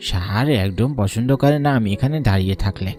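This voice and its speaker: A man's voice: on the low side, fast talker, warm tone, lightly acted. A man voicing a coaxing, evasive village school peon in a horror cartoon. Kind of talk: dubbed horror cartoon dialogue. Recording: music under the voice.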